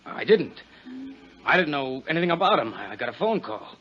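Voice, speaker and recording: An actor playing a cornered, defensive murder suspect in a 1950s radio drama, nervously protesting. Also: male voice, fairly high pitch, big pitch swings, fairly quick, faint music behind. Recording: muffled, slightly noisy 1950s radio recording.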